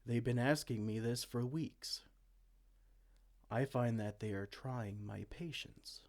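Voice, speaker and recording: A man's voice: mid-range voice, takes it slowly, a little mellow. A man playing a dorky, petulant evil king in a comedic fantasy roleplay, pompous, theatrical and exasperated. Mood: pompous, pondering. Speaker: amateur roleplay audio performer.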